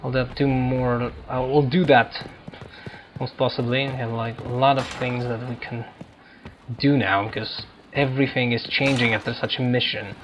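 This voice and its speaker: A man's voice: medium pitch, fairly even intonation, normal speed, highs cut off, noisy background. A man giving casual let's-play commentary as a gaming streamer.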